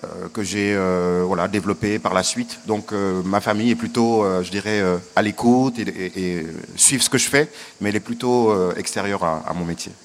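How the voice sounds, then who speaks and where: A man from Senegal, an actor answering interview questions in an earnest, hesitant way with many pauses. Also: on the low side, a little hiss or hum.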